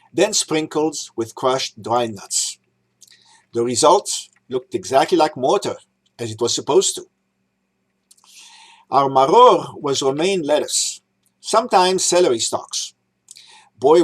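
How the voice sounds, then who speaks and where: Husky older man born in Egypt. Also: mid-range voice. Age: 60s. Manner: measured, reminiscing, lists items one by one. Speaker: rabbi giving a holiday talk. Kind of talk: prepared talk read aloud.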